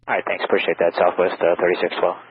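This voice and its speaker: A deep-voiced man radios in as an airline pilot. His readbacks are casual and a little hesitant. The transmission is narrow, with faint static. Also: level tone, quick pace. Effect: aviation radio.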